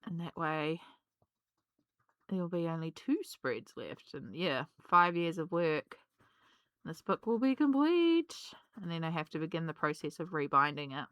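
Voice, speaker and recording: A woman, slightly hoarse from a cold, chatting casually and rambling with many ums while she colours. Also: deep voice, very animated, a little slow, a bit bright, dry recording. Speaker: colouring vlogger.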